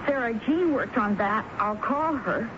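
An actress playing a meek, guarded typist in a 1970s radio drama, speaking haltingly and timidly repeating words. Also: female voice, on the high side, animated. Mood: flustered, self-effacing. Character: meek, self-effacing female typist, guarded and nervous. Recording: muffled, noisy 1970s radio broadcast.

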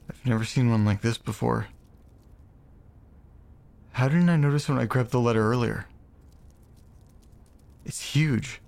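A man acting in an audio drama as an uneasy guy talking to himself, halting and muttering with some swearing. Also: medium pitch, vocal fry. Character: startled, uneasy man alone, muttering to himself and his pet. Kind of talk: naturalistic audio drama monologue.

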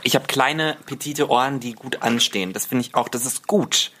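A man's voice: medium pitch, moderate pace, bright, forceful tone, a little hiss or hum. A man chatting casually and jokingly as a podcast guest, cheeky and slipping English phrases in. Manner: cheeky, drops English phrases into his talk.

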